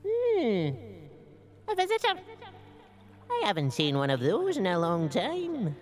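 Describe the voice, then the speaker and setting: A woman playing a gentle old male mushroom gatherer in a family audio drama, musing and hesitant. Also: medium pitch, wildly swooping pitch, slow, soft background music. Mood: kindly, wise. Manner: musing, hesitant, humming to self.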